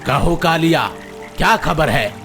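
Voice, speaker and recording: A man's voice: fairly high pitch, animated, slow pace, a bit bright, exaggerated voice. An actor voicing a gullible, self-important frog king in a cartoon moral story, with a regal, curious manner. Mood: curious, surprised. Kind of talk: animated Hindi moral story dialogue. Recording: music behind the voice.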